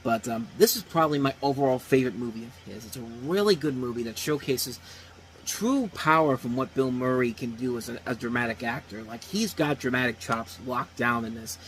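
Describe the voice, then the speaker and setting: A man's voice: medium pitch, fairly quick, a bit bright, low-quality recording. Thirties male host, loose unscripted film talk, restarts often, faint hiss behind. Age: 30s. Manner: rambling, restarts, repeats phrases. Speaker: movie reviewer host. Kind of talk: casual unscripted review talk.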